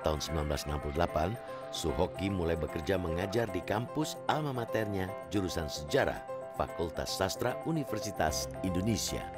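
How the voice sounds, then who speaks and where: Male narrator, very low voice, formal measured read, loud music underneath. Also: animated. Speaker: documentary narrator. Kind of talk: scripted narration.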